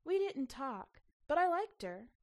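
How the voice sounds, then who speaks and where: A woman's voice: high voice, big pitch swings, unhurried, darkish tone, pitched above the performer's own voice, heavily acted. A woman voicing a young, earnest, reluctant girl who speaks in short, blunt, hesitant replies in a story reading. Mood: shy, reluctant.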